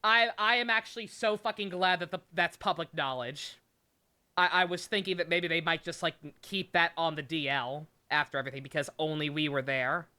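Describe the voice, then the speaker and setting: A woman's voice: sits low, fairly even intonation, a little fast, sharp tone. A woman doing casual, joking live game commentary, sweary and sarcastic. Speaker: game streamer.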